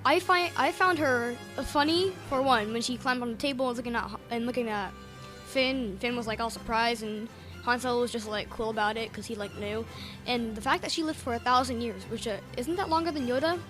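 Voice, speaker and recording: A woman chatting casually as a podcast panelist, halting and repeating phrases, with lots of filler words. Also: fairly high pitch, over music. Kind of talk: casual fan chat.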